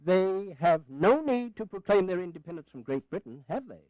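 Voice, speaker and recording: An old British general, principled and indignant, in an audio history play. His voice sits high and swings widely as he delivers sardonic, oratorical rebukes. The recording is dry and slightly dull. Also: big pitch swings, normal speed, dry recording. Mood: defiant.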